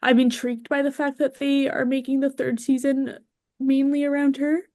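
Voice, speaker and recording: A woman's voice: high-pitched, flat delivery, moderate pace, a little mellow, no room sound, studio quality. Woman guest in casual podcast chat.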